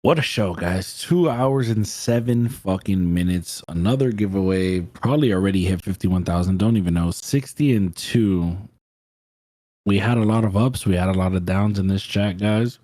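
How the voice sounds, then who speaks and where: A man's voice: low-pitched, normal speed, mellow, dry recording. A man in his twenties co-hosting a podcast, ranting in exasperation and swearing a lot. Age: 20s. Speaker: podcast co-host. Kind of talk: profane casual podcast banter.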